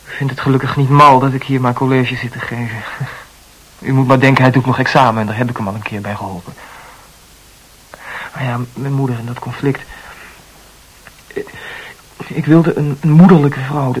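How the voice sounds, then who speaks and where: An intense, self-absorbed suitor in a radio drama. He talks at length about himself in a slightly husky voice of middling pitch, confessional and halting as he picks over his own feelings. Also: fairly even intonation, rough recording. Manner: talkative, self-analysing, halting repetitions. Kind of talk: Dutch radio play acting.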